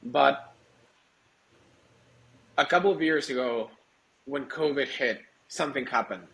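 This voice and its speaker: Guy as interview guest, mid-pitched voice, explaining stuff, kinda halting. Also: vocal fry, fairly bright tone, slight background noise, low-quality recording. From Argentina. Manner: halting, searching for words, explanatory. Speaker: startup executive, interview guest. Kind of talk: conversational interview.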